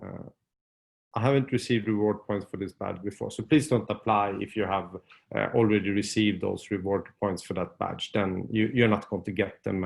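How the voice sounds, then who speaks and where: A man with Swedish as his first language speaks in a fairly low, gravelly voice through a computer mic. He talks loosely and chattily as a webinar host, filling pauses and restarting sentences as he walks through his screen. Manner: loose and conversational, many fillers and restarts. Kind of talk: casual screen-share webinar walkthrough.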